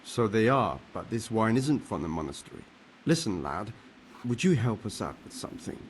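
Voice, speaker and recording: An actor playing a jovial, sly older medieval monk in a video game, with a hearty, coaxing manner. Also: male voice, on the low side, sing-song, normal speed, a little hiss or hum. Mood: sly, persuasive. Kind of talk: video game cutscene acting.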